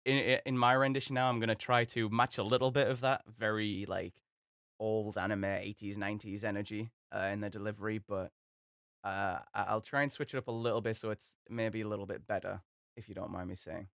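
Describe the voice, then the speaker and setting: A man's voice: fairly low pitch, flat delivery, a little fast, a bit bright, smooth, no room sound, clean studio sound. A young man who voices characters as a hobby, talking in a hesitant, self-deprecating, chatty way. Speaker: hobby voice actor. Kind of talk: casual commentary.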